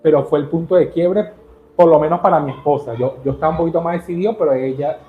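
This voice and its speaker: A man from Caracas with a Venezuelan accent, telling his story as an interview guest in an earnest, halting way with many restarts. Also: on the high side, roomy sound, phone-quality sound, faint music behind. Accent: Venezuelan Spanish.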